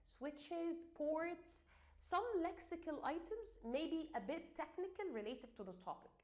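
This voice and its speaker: Egyptian woman teaching, slightly high voice. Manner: hesitant, many fillers, repeats and restarts. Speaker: English exam teacher. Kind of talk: explanatory teaching talk.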